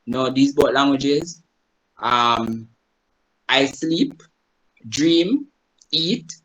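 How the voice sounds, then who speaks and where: A man's voice: mid-range voice, very slow, fairly bright tone, highs cut off. Jamaican man presenting on a video call. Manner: explanatory, asks the audience "right?" often. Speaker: guest presenter, Chinese teacher. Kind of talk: online presentation to a group.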